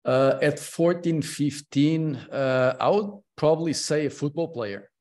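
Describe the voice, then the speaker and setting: A man's voice: a little high, unhurried, darkish tone. A man in his early forties from Portugal, a podcast guest talking casually and hesitantly, with many fillers and restarts, over a video call. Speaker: podcast guest, consultant and mentor. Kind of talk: casual interview chat. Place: home room, on a video call.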